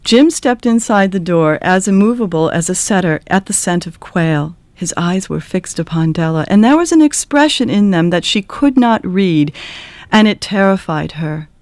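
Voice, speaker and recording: A woman narrating a classic story in an expressive, measured and dramatic scripted style. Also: lowish voice, dry recording. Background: acoustic guitar music.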